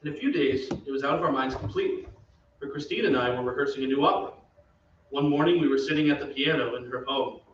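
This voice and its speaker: A man's voice: medium pitch, fast, lightly acted. A man acting a suave, courteous opera singer who also narrates an old-time radio drama. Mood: grave storytelling. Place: theatre stage. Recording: echoey stage, distant muffled mic, faint noise.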